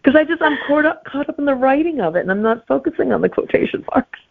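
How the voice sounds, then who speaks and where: A woman's voice: high voice, expressive, fast, vocal fry. A middle-aged woman, a guest author chatting casually in an interview over a narrow phone-like connection. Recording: tinny narrow phone-like line.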